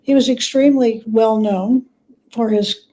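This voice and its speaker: Higher-voiced woman with a mellow tone. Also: steady tone, a little slow, poor audio. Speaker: guest author, biographer. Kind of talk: conversational interview.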